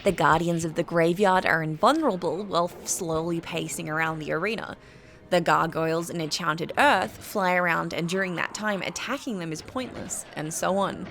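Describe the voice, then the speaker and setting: A woman with a British accent reading a scripted game review in a measured, articulate, critical way. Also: on the low side, a bit bright, a little hiss or hum. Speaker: video essay narrator.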